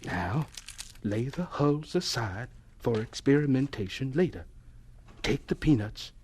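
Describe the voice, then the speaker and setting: An actor playing a devout, humble elderly scientist in a radio drama, husky and deliberate, absorbed in talking with God. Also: male voice, medium pitch, animated, a little mellow, slight background noise, lightly acted. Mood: absorbed, hushed.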